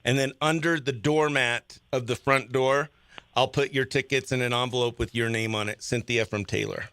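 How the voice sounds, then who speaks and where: Bright-voiced man hosting morning radio. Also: mid-range voice, fairly even intonation, normal speed, clean tone, dry recording. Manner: informal slangy, exclaims dude and oh heck. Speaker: radio morning show host. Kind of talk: upbeat casual radio contest banter. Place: small radio studio, close dry mic.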